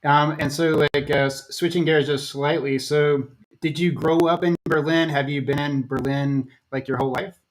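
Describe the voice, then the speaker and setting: American man, rambling, flat-voiced host. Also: on the high side, normal speed, rough recording. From United States. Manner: rambling, restarts, many fillers. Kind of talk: casual interview chat.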